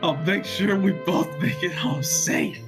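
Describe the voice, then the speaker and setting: A woman's voice: huge pitch swings, measured pace. A woman voicing a cocky, exasperated young male hedgehog hero in an exaggerated amateur comedic fanfiction reading. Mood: reassuring. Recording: old-timey low-quality mic, loud music.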